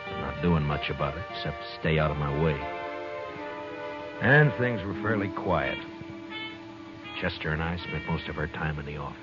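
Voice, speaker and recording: An actor playing a terse, world-weary American marshal in an old radio western, narrating and talking in character. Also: male voice, rumbling low, moderate pace, pauses often. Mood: grim narration. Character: weary, gruff frontier marshal of Dodge, adult man. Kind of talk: 1950s radio western acting with first-person narration. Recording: muffled old radio broadcast, music behind.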